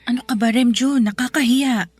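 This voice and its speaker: An actress playing a generous middle-aged neighbor in a Filipino radio drama, chatty and coaxing. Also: female voice, a little high, moderate pace, a little mellow, husky, dry recording, soft background music. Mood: cheerful, persuasive. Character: Tita Nemya, generous middle-aged neighbor lady, reconciling.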